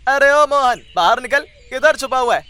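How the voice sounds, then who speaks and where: A man voicing a bullying, sneering servant henchman in a cartoon story, loud and taunting. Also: very high-pitched, expressive, moderate pace, a little hiss or hum, pitched above the performer's own voice, full character voice. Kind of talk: Hindi cartoon dialogue.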